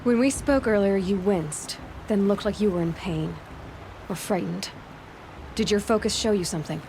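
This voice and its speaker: An actress playing a direct, suspicious young huntress who asks blunt, probing questions in a video game cutscene. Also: female voice, medium pitch, moderate pace, background noise, close to an everyday voice.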